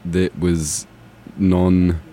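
A man's voice: sits low, level tone, extremely slow, warm tone, a little hiss or hum. A young man from Austria with a London accent, a student radio co-host chatting casually and laughing often. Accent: London English. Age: young adult. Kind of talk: casual chat.

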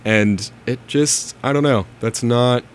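A man's voice: mid-range voice, slow pace, crowd noise. A young man co-hosting a casual chat, feeling his way through his points in a hesitant, conversational manner. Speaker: podcast co-host. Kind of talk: casual chatty discussion.

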